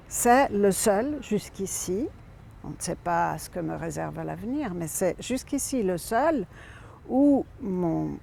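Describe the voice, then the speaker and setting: A woman novelist from Rome, a native Italian speaker, presents her book in a measured, thoughtful way, often pausing on hesitant fillers. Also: medium pitch, vocal fry, a little mellow. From Italy (Rome). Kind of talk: reflective spoken book presentation.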